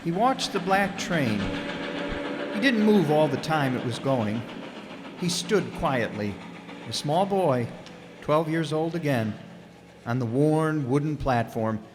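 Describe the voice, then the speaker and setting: A man's voice: on the high side, expressive, takes it slowly, long pauses, noisy background. A man narrating a live radio play in a dramatic, literary storytelling style. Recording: echoey hall, music behind.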